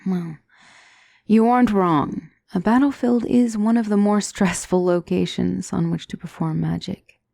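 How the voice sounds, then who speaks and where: A woman playing a gentle demonic mentor in an ASMR fantasy roleplay, speaking softly with formal, measured, reassuring diction and often near a whisper. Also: medium pitch, vocal fry, no echo. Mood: calm reassurance. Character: gentle demonic tutor, female mentor to a young royal.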